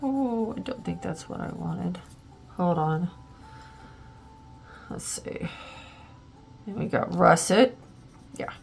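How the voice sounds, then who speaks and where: A woman's voice: lowish voice, animated, slow pace, vocal fry, pauses often, faint music behind. A woman hosting a casual art tutorial, a little congested and plugged up, chatting and thinking aloud as she works, with lots of ums and likes. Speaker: art channel host.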